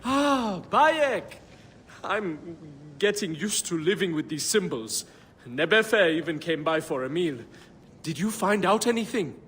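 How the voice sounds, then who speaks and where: A nervous, superstitious villager from ancient Egypt for a game. The voice is high and fretful, easily worked up. It rings with long reverb. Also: male voice, a little slow, a little hiss or hum. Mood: friendly, uneasy. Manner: fretful, hesitant, excitable. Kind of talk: video game drama acting.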